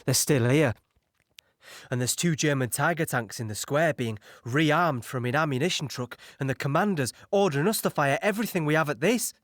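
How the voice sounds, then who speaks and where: An actor playing a sombre, conscience-troubled British soldier, giving an earnest, reflective first-person account in a wartime audio play. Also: male voice, on the high side, moderate pace, a bit bright, dry recording, studio quality, lightly acted. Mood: tense, anguished.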